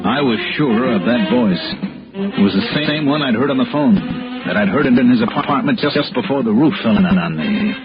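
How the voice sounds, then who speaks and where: A man's voice: medium pitch, animated, normal speed, natural voice. An American man playing a hard-boiled, probing insurance investigator in a 1960s radio noir drama, both acting and narrating. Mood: wry, brooding. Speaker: lead actor and first-person narrator. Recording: dull vintage radio recording with music.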